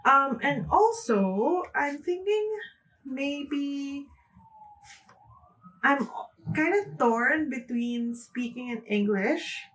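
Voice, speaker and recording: Chatty young Filipino woman, slightly higher voice. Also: lively intonation, extremely slow, long pauses, fairly bright tone, far from the mic, poor audio. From Philippines. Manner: chatty, frequent "like" fillers, light laughs. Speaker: booktuber. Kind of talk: casual chat to camera.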